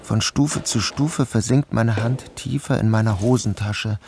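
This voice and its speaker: A man's voice: on the low side, measured pace, darkish tone, slight background noise. An actor playing an anxious, shaken man narrating his own mishap in a radio play, in precise literary phrasing. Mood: tense.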